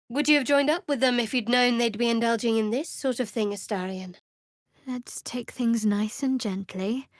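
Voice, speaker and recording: An actress voicing a guarded, devout dark cleric woman in a video game, with a dry, sardonic, measured delivery. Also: on the high side, dry recording. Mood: guarded, composed. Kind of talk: video game character voice acting.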